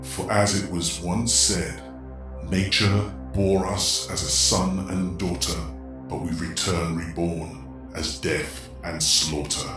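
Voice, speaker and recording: Ominous shadow-dwelling storyteller for horror audio drama; deep, grandly theatrical, heavy echo. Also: male voice, flat delivery, slow pace. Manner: ominous, florid, dramatic delivery. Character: sinister, theatrical horror host, an otherworldly male observer lurking in shadows. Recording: cavernous echo, distant, loud music underneath. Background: loud music.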